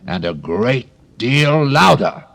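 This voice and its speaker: A man reading a storybook and voicing a proud, bossy female tiger lily flower in an imperious, slow, commanding manner. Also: medium pitch, sing-song, gravelly, fairly bright tone, pitched above the performer's own voice, heavily acted. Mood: haughty.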